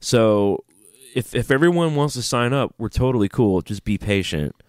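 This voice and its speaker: A man's voice: mid-range voice, expressive, normal speed, a little mellow, clear, resonant tone, no room sound. Fields: dry-miked male podcast co-host, stuffy nose. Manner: joking, breaks into singing. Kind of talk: casual podcast chat, reading listener emails. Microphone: studio microphone, preamp talk.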